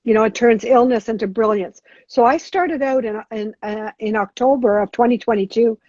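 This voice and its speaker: A middle-aged woman answering as a guest on a podcast interview, talking haltingly with many restarts and fillers. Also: fairly high pitch, dark tone, no room sound. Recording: dull lo-fi sound.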